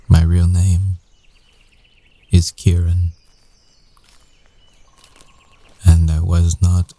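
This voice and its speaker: A man playing a guarded, earnest werewolf ex-boyfriend in an intimate ASMR roleplay, speaking haltingly with long pauses. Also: deep voice, mellow. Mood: guarded, confiding.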